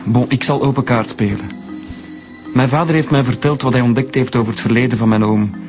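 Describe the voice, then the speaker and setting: An actor with a Flemish accent playing a suspect's indignant, defensive adult son in a radio drama, curt and protesting. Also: male voice, mid-range voice, fairly even intonation, a little fast, natural voice. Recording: music behind the dialogue.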